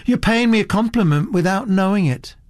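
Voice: medium pitch, expressive, a little fast, a little mellow, dry recording, clean studio sound, pitched above the performer's own voice, close to an everyday voice. A narrator voicing an indifferent, amused young woman artist with a lazy drawl in a storybook reading. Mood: amused, placid.

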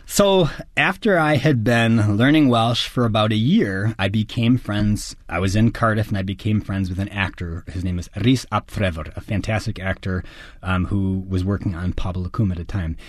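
Earnest, measured male actor, dry sound. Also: fairly low pitch, dry recording. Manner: earnest, measured, articulate with occasional restarts. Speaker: actor and guest. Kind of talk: conversational interview answers.

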